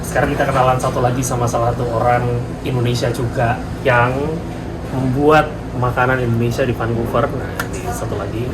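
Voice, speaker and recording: A man interviewing kitchen staff in a casual way, keen and quick to affirm what he hears. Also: medium pitch, steady tone. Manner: eager, frequent affirmations. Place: restaurant kitchen. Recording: roomy, distant, loud kitchen noise.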